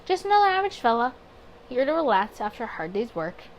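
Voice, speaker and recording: A woman's voice: fairly high pitch, big pitch swings, fast, a little hiss or hum, rough recording. A woman playing a wary, grumbling male detective in a 1920s visual novel, with a sardonic, suspicious manner. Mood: guarded, sardonic.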